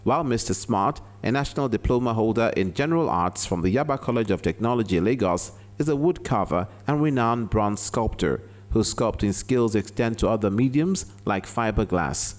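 A man's voice: lowish voice, animated, normal speed, few pauses. A man reading a scripted news feature narration as a reporter.

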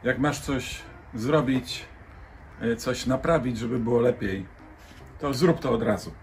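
A man's voice: medium pitch, unhurried, vocal fry, a little hiss or hum. A man in his sixties talking aloud to himself in a children's skit, outdoors. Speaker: performer in a children's lesson skit. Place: outdoors in a garden.

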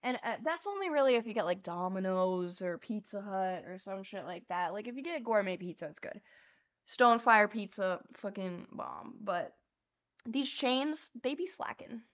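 A young woman hosting a casual livestream from her bedroom, chatting freely and swearing as she paints, with a voice that is getting hoarse. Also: mid-range voice, lively intonation, moderate pace, lo-fi sound. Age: young adult. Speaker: livestream host. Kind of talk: casual chat while painting cards. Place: small bedroom. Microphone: lapel mic.

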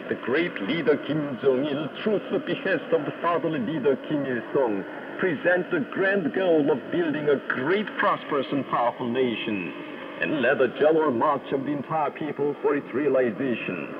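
Solemn male announcer on noisy shortwave. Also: mid-range voice. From North Korea. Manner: solemn, declamatory. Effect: shortwave radio. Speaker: radio news announcer. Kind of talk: formal scripted state radio announcement. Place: broadcast received over shortwave. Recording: noisy, muffled shortwave radio broadcast. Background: loud static and radio noise.

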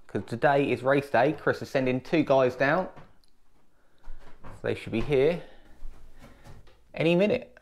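A man's voice: mid-range voice, measured pace, vocal fry, pauses often. A man in his thirties with an English accent, giving an enthusiastic casual walkthrough of his home renovation in an empty room, filmed on an action camera. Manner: enthusiastic, upbeat.

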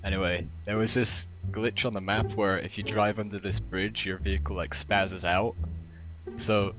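A man's voice: lowish voice, flat delivery, moderate pace. A young man telling a war game story to friends in casual voice chat, laughing as he goes. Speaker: gamer in voice chat. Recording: music behind voice chat.

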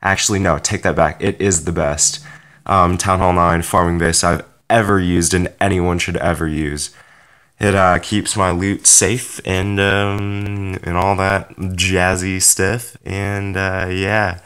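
A man's voice: low voice, level tone, slow pace, a bit bright, smooth. A young man hosting a casual game commentary, rambling and self-correcting with lots of fillers while fighting a cold. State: has a cold. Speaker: game channel host.

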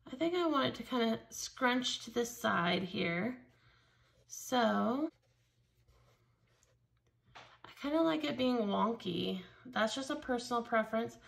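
A woman's voice: on the high side, expressive, slow, vocal fry, long pauses. A woman teaching a casual craft tutorial, chatting enthusiastically as she works with her hands at a table. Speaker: craft store instructor. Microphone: overhead camera, only her hands seen.

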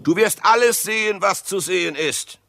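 An actor in a German radio play voicing an evasive, mistrustful husband with dry sarcasm and a clipped, deliberate delivery. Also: male voice, sits high, vocal fry, bright, forceful tone, heavily acted. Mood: insistent.

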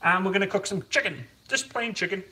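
High-voiced man rambling while cooking. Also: fast, bright, forceful tone. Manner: chatty, rambling, self-deprecating. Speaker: live streamer cooking and chatting. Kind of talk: casual live stream chat. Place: home kitchen. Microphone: camera on tripod across the kitchen. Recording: roomy, distant kitchen sound.

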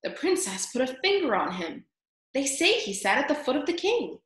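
Storybook role: scandalized busybody auntie mouse played by a female reader, prim, disapproving, pitched up, roomy. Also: sing-song, normal speed.

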